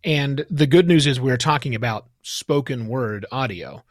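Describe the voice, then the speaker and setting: A man speaks as a podcast interviewer in a conversational manner. His voice sits at a medium pitch, and the sound is dry and close, free of room echo. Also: measured pace.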